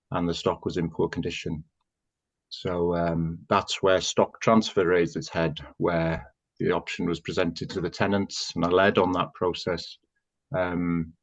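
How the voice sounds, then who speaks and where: A man from North Wales, a native Welsh speaker, speaks in a very low voice. He's a chief executive answering interview questions in a relaxed, unhurried way with plenty of ums. The audio sounds dull and lo-fi. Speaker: chief executive, podcast guest.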